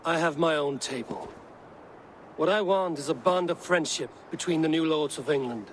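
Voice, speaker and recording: An actor playing a confident, measured Viking warrior in a dramatic video game scene. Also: male voice, on the high side, slight background noise, close to an everyday voice. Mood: guarded, firm. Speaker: game character in cutscenes.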